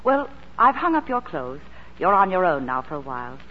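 An actress playing a kindly, brisk female sanatorium attendant in 1940s radio drama style. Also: lowish voice, very animated, long reverb tail. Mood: brisk, caring. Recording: muffled, slightly noisy 1940s radio broadcast.

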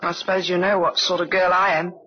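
A woman acting in an old radio drama as a soft-hearted young London woman, flustered, tender and a little bossy. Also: on the low side, level tone, brisk, lightly acted. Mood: tender, hesitant. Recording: muffled old radio recording, faint noise.

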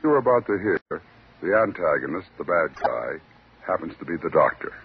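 A man hosting a 1950s radio drama, giving a scripted introduction in a deliberate, wry, formal manner. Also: deep voice, sing-song, slight background noise. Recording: thin, narrow-band vintage radio recording.

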